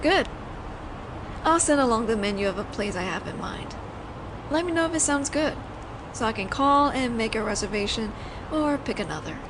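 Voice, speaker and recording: A woman's voice: on the high side, lively intonation, noisy background. A woman voicing a flirtatious, doting mafia boss in an intimate roleplay audio drama, teasing and considerate as she talks to her lover. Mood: pleased, confident. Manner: soft-spoken flirting, teasing, pauses as if hearing replies.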